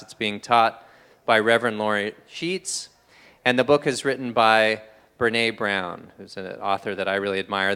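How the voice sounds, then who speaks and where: A man reads the announcements at a church service in a friendly way, slipping in small jokes. His voice is smooth and clear at a middle pitch. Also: fairly bright tone. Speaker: announcements host at a spiritual center.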